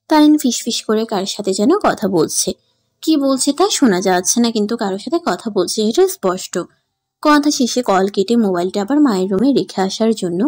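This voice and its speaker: A woman's voice: on the high side, warm tone, dry recording. A woman narrating a scripted dramatic story as its storyteller.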